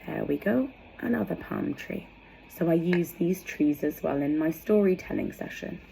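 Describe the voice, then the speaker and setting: Low, mellow woman explaining things. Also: expressive, moderate pace, creaky, slight background noise, rough recording. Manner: explanatory, conversational asides while demonstrating props. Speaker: educator presenting storytelling props. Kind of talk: informal instructional vlog.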